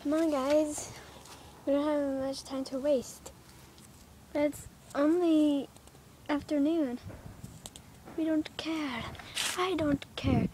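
Young girl voicing toy pets on a backyard playground; slow, high, animated delivery; phone-recorded with audible background noise. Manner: excited squeals, exaggerated character voices. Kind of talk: playful toy play acting. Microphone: handheld phone camera.

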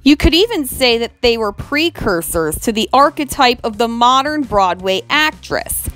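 A woman hosting an educational video, reading a scripted narration over slides in a measured, explanatory way, with asides to the viewer. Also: on the high side, expressive, fairly bright tone.

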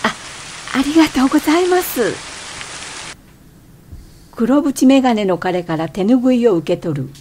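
An irritable middle-aged woman out hiking in a radio drama. Her voice sits a little high and moves widely in pitch. She tells her inner thoughts in an expressive, theatrical way. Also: unhurried, pauses often, a little hiss or hum. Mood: observing. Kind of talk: Japanese radio drama acting and narration.

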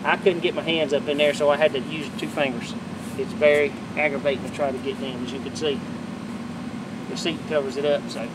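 A middle-aged man with a drawl and a slightly raised pitch walks through his backyard project in a loose, self-correcting ramble. He is captured on a camera mic in the open air, with heavy background noise running under his voice. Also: steady tone, brisk. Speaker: vlogger showing his boat upgrades. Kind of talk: casual show-and-tell. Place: backyard outdoors. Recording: outdoor camera audio with loud background noise.

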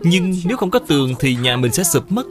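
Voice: medium pitch, big pitch swings, brisk, over music, lightly acted. A performer voicing an earnest, respectful young boy in a cartoon, speaking politely and eagerly. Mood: worried, questioning. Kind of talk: cartoon dub acting.

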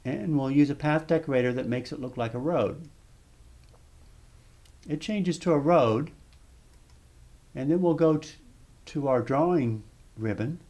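A man narrating a step-by-step software tutorial over screen footage, explaining calmly with small restarts. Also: a little high, unhurried, long pauses. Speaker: software demonstrator.

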